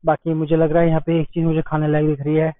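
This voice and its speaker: A man's voice: a little high, monotone, fast, no room sound. Chatty young man with a tinny sound. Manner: chatty, exclaiming, tour-guide commentary to viewers. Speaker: travel vlogger. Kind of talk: casual travel vlog narration. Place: outdoors at a temple site.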